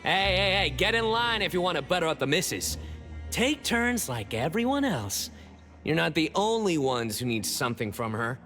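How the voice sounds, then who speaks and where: Casting: pushy party guest for a game; higher-pitched, very animated, brusque and indignant, music behind. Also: male voice, moderate pace. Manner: brusque, scolding. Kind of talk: video game voice acting.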